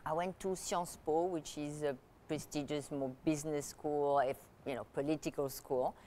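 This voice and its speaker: Woman, Italian native speaker, low voice, chatty, many fillers, faint hiss. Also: steady tone, moderate pace, mellow. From Italy. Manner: chatty, many "you know" fillers and restarts. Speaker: guest, luxury consultant and author. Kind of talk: conversational interview.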